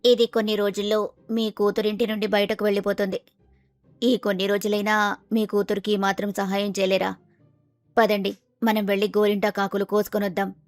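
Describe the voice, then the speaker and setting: A woman's voice: mid-range voice, little pitch movement, a little fast, dry recording, close to an everyday voice. A woman playing a middle-aged wife in a story, nagging and insistent.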